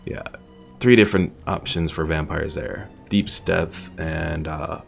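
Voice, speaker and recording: A man with a low, heavily creaky voice gives casual commentary on a game he is streaming. He muses aloud in an unscripted way, with faint music behind him. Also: fairly even intonation, measured pace. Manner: musing, thinking aloud.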